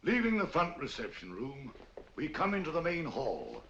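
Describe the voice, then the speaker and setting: An actor playing a pompous, chatty museum tour guide in 1940s film style, theatrical and sing-song. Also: male voice, on the high side, wildly swooping pitch, moderate pace, fairly bright tone, breathy edge, full character voice. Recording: dull lo-fi 1940s film soundtrack with faint room noise.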